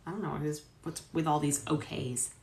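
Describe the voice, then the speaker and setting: A woman's voice: deep voice, steady tone, a little fast, vocal fry, a little mellow. A woman hosting a dramatic transcript reading, giving casual, sardonic asides and swearing freely. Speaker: host reading a court transcript with commentary. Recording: roomy echo.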